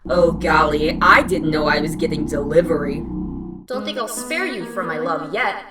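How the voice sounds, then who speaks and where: A woman's voice: sits low, moderate pace, music in the background, natural voice. A woman voicing a bratty, boastful flower with the soul of a young boy, childish and theatrical, in a comic dub. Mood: sly, mocking.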